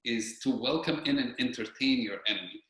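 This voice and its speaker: Man, panel guest, earnest bright delivery, strong room echo. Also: mid-range voice, a little fast. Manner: earnest, animated. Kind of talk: panel discussion.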